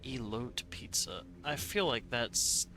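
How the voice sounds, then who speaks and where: A man's voice: medium pitch, animated, slow pace, over music, rough recording. A man streaming a factory-building game live, thinking aloud with playful asides to himself. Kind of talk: live gameplay commentary.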